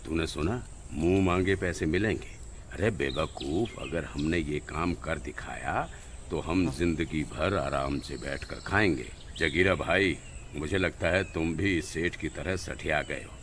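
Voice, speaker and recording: A man's voice: very deep voice, sharp tone, a little hiss or hum, full character voice. A man playing scheming village poachers in a cartoon moral story, in dramatic dialogue. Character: two scheming male village poachers, one bold, one fearful.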